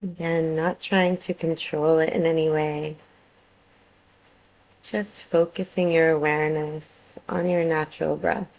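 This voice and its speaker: A woman teaching yoga nidra, guiding a meditation in a calm, soothing way with measured phrases and pauses. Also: deep voice, poor audio. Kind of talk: guided meditation, soothing instruction.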